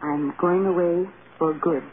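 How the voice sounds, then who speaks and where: An actress playing a devoted, anguished film star wife in an earnest, emotional 1930s radio drama style. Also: female voice, fairly low pitch, steady tone, a little slow, natural voice. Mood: sad, resolved. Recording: scratchy, tinny 1930s radio broadcast.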